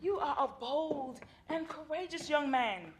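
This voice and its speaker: An actress playing a calm, encouraging female therapist who speaks in a measured, reassuring way in a stage play. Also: high-pitched.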